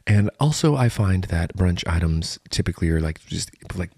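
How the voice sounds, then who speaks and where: A man's voice: low voice, lively intonation, moderate pace, a little mellow, breathy edge, no room sound, clean studio sound. A man co-hosting a podcast in casual comic chat, with a playful, joking manner. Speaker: podcast co-host.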